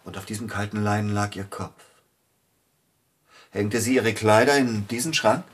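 Low-voiced, courteous, brooding male pianist. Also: normal speed, slightly rough. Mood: brooding, grieving. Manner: formal, polite, hesitant. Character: celebrated pianist, a courteous, brooding bereaved husband. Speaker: radio drama actor. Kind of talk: German radio play acting.

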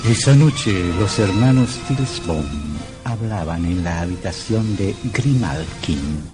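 An actor narrating an old radio horror serial in a grave, deliberate, dramatic manner. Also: male voice, fairly low pitch, lively intonation, over loud music. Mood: grave, suspenseful.

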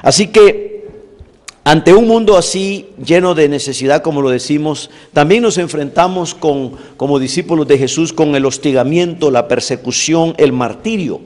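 Guy preaching a lecture, kinda high voice, slow deliberate lists, big hall echo. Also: a little mellow. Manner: deliberate, builds long lists with emphasis. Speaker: teacher of evangelism theology. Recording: long reverb in a large hall.

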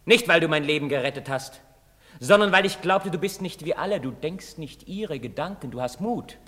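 An actor playing a bitter, defiant young outcast son in a German stage drama, speaking with theatrical intensity and scorn. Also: male voice, on the high side, animated, normal speed, a bit bright. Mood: bitter disappointment. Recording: very reverberant hall or stage.

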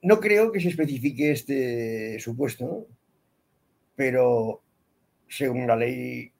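A man talks through absurd ideas with a dry, deadpan logic. His voice is gravelly and a bit husky, sitting at an ordinary pitch for a man. He sounds like a comedian chatting on a radio show. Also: takes it slowly. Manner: rambling deadpan absurd reasoning. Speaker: comedian guest. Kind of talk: casual comedic chat.

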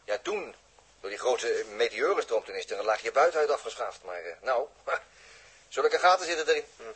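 A man acting a down-to-earth spaceship repairman in a 1960s radio drama, chatty and wry, with a husky voice. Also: mid-range voice, very animated, normal speed, fairly bright tone, heavily acted, low-quality recording. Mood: wry, practical. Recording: husky old radio recording.

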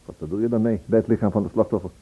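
A businesslike police inspector in a radio drama. The voice is low and husky, questioning in a measured, halting way. The old recording is thin and tinny. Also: male voice, fairly even intonation. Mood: brisk, probing. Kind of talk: Dutch radio play dialogue.

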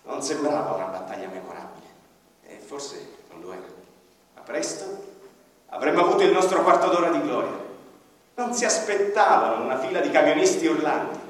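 An actor playing an embittered, idealistic ordinary man in a theatrical radio monologue, declamatory, with dramatic pauses and swings from hushed to loud. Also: medium pitch, lightly acted. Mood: bitter, wistful. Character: embittered, idealistic ordinary man, a small-town activist defending his land. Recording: very echoey hall, distant mic, faint music. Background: faint violin music.

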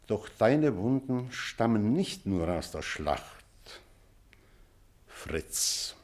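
An actor playing an elderly retired schoolmaster in a drama, pointed and deliberate, stern but tender toward a former pupil. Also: male voice, lowish voice, sing-song, darkish tone, full character voice. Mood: earnest, moved. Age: older. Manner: deliberate, pointed, rhetorical repetition. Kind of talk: theatrical radio play dialogue.

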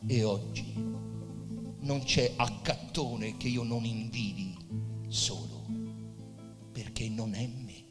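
An actor playing a weary, dreamy, melancholy poet near death, with theatrical, measured and expressive literary delivery. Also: male voice, lowish voice. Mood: melancholy, intense. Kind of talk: literary stage monologue and narration. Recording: reverberant hall with music behind.

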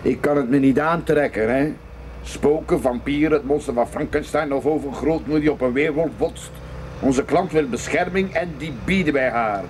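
An actor playing a hard-nosed, cynical detective agency boss in a radio drama, brusque and commanding. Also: male voice, fairly high pitch, moderate pace, darkish tone, lightly acted, rough recording. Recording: radio play with clear background noise.

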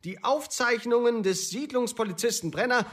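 An actor playing an authority chief who delivers a formal, rhetorical, emphatic political speech in a radio play. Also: male voice, extremely high pitch, lively intonation, moderate pace, a bit bright. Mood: official, emphatic. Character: smooth-talking male authority chief addressing an assembly.

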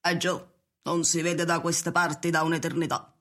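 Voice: mid-range voice, flat delivery, normal speed, a bit bright, close to an everyday voice. An actor playing a plainspoken, welcoming hill farmer woman in an Italian radio drama, chatty and rustic. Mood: gossipy. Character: Mrs Baxter, folksy pipe-smoking hill farmer woman, plainspoken and welcoming.

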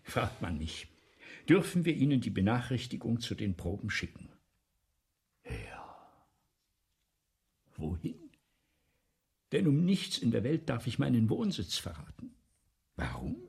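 An imperious, failing man of the theatre in a radio drama. His voice is badly rasping and creaky, and it lurches from muttered asides to theatrical tirades. He is vain, agitated and rambling, with very lively pitch. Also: measured pace, long pauses, full character voice. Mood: confused, fretful. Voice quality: husky, gravelly. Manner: theatrical, erratic, swinging from muttering to outbursts. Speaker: radio play actor. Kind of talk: 1970s German radio play acting.

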